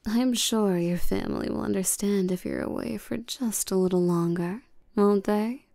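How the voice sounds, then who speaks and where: A woman playing a regal, seductive and domineering vampire queen in a scripted roleplay audio drama, coaxing and commanding the listener with soft menace. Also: medium pitch, normal speed, gravelly, no echo, studio quality, natural voice. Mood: smooth, probing.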